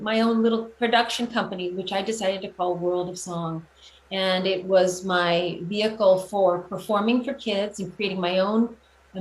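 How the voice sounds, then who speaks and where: A middle-aged woman talks in a roomy, echoing space with some background noise. Her voice sits at an ordinary pitch. She answers interview questions thoughtfully and earnestly, often pausing to rephrase. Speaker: guest, children's music performer and teacher. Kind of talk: conversational interview answers about own career.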